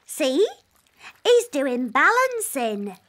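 High-pitched, excitable little princess. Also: female voice, very slow, exaggerated voice. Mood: proud, playful. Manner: childlike, eager, exclaiming. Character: excitable, headstrong little princess, a young girl.

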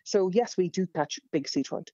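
A woman's voice: lowish voice, moderate pace, warm tone, dry recording, lo-fi sound. A woman with a Northern Irish accent, a fishing guide telling stories as a podcast guest, enthusiastic and rambling with many fillers. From Northern Ireland.

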